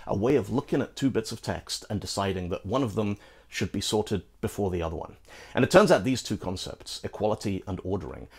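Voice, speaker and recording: A man from Britain walks through a programming topic in a studio, his voice sitting a bit low with a touch of huskiness. He talks with lively, gesturing energy and tosses in playful asides to the viewer. It sounds like a scripted tech explainer from a seasoned host. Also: breathy edge. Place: studio in front of a green screen.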